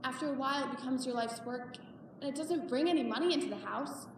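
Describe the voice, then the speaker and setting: A woman's voice: sits high, quick pace. Woman acting a weary, defiant widowed mother, distant and echoey. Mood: weary, resolute. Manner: firm, emphatic, defiant. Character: weary, embittered widowed mother confronting her daughter. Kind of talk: dramatic stage monologue. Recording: echoey hall, far from mic, noisy.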